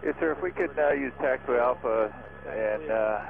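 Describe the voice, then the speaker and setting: A man's voice: medium pitch, moderate pace, vocal fry, crowd noise. A man, a helicopter pilot, speaking courteously to the tower over aviation radio. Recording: tinny aircraft radio, busy background din.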